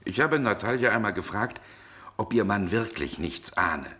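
Deep, bright-voiced brooding gentleman. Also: lively intonation, normal speed. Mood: wry, lecturing. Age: middle-aged. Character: brooding, world-weary Russian gentleman, middle-aged former lover. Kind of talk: 1960s German radio drama acting.

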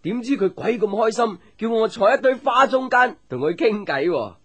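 A man's voice: sits high, animated, moderate pace, slightly rough, highs cut off, heavily acted. An actor playing a chatty, boyish young man with a Hong Kong Cantonese accent, telling stories playfully and confidingly in a radio drama. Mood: chatty, light. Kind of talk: radio drama acting, casual storytelling chat.

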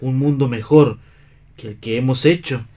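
An actor playing an earnest idealistic hero in a radio play, solemn and declamatory. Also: male voice, mid-range voice, normal speed, warm tone, close to an everyday voice. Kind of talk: theatrical radio play reading.

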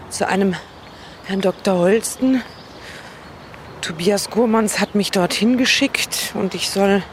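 A woman playing a hesitant, slightly defensive young woman in a naturalistic radio drama, halting and unsure. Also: mid-range voice, echoey room, a little hiss or hum, close to an everyday voice. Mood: curious, resigned.

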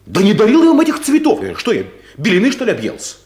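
Male meek but indignant office clerk. Animated, hurried, halting, radio comedy play. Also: sits high, heavily acted. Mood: flustered, indignant. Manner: halting, defensive, deferential to his boss. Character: timid, flustered male office clerk, indignant when accused. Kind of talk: Soviet radio comedy acting.

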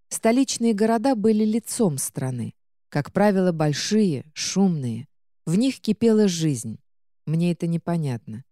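A woman's voice: on the low side, mellow, no room sound, clean studio sound. A woman reading first-person fiction as a scripted audiobook narration.

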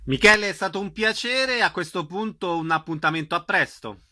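A man's voice: high-pitched, moderate pace, pushed and bright. A man hosts a conversational radio interview, courteous, with long and somewhat hesitant sentences.

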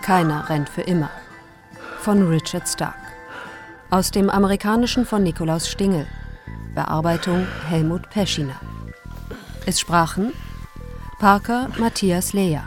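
A woman announces radio play credits in a formal, measured style. She takes her time, in a fairly low register, with music playing clearly behind her.

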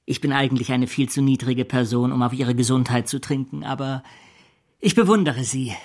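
An actor in a German radio drama playing a servile, ambitious clerk with an insinuating, falsely humble manner. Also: male voice, mid-range voice, normal speed, a little mellow, natural voice. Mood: gloating, insinuating. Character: servile, scheming, ambitious male clerk feigning humility.

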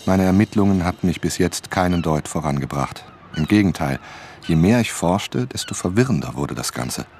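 A man's voice: sits low, expressive, normal speed, darkish tone. An actor playing a determined novelist who narrates his own investigation in a scripted radio play. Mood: reflective, matter-of-fact. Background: music.

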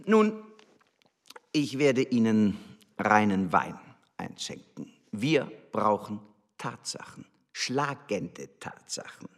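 A scornful male embassy official in a radio drama. His low voice is husky, and he lectures with haughty, sneering contempt. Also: big pitch swings, takes it slowly, heavily acted. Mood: haughty, lecturing. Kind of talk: German radio drama acting.

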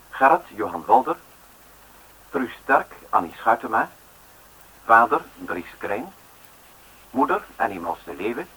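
A man's voice: mid-range voice, pushed and bright, husky, rough recording. A man working as a radio announcer, reading scripted credits in a formal, list-like delivery.

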